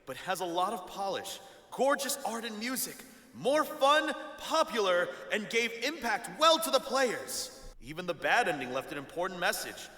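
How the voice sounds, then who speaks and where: A showy awards host in a visual novel, high and bright, in a big echoing hall. He announces with excitement and wide swings of intonation. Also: moderate pace, full character voice. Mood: excited. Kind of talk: ceremony announcement. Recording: echoing hall, music underneath.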